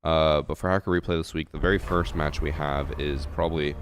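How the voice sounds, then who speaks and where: A man's voice: extremely low pitch, fairly even intonation, fairly quick. A young man streaming a shooter game, chatting casually with his chat and thinking aloud with fillers, a little stuffy from a head cold.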